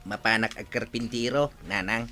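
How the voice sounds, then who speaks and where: A man's voice: lowish voice, moderate pace, sharp tone, soft background music. A man playing an easygoing, generous young carpenter, a son-in-law, in a radio comedy drama. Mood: earnest.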